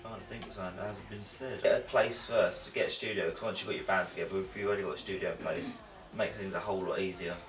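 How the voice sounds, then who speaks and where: An English man in his twenties with a very low voice answers in an offhand, joking way. He sounds distant in an echoey room. Music and guitar play behind him. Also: fairly even intonation, fast talker. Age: 20s. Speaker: drummer. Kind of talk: casual interview.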